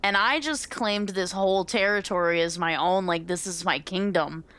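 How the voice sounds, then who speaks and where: A woman's voice: fairly low pitch, normal speed, a bit bright, clear, resonant tone, natural voice. A woman playing a cocky, boastful young gargantuan bird in a tabletop game, with a breezy, smug manner. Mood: imperious.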